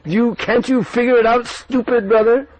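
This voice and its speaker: A man's voice: fairly even intonation, moderate pace, no echo. A man voicing a suspicious, scolding woman who nags her brother about his wife. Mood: scornful. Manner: nagging, scornful, shrill. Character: suspicious scolding woman, a meddling sister-in-law. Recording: dull dry recording.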